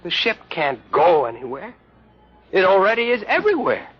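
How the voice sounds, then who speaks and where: An earnest young scientist of orthodox faith in vintage radio drama style. His husky, fairly high voice leaps around in pitch and alarms easily. The recording is old and muffled. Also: moderate pace, soft background music, exaggerated voice. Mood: earnest, certain. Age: young adult. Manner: earnest, excitable, theatrical.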